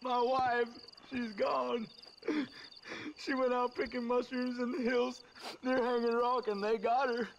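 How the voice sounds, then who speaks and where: Plaintive high-voiced American frontier husband. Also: male voice, level tone, measured pace, creaky, dull audio, slight background noise, full character voice. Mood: distraught. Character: plaintive, helpless frontier husband of the Old West. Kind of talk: video game western acting.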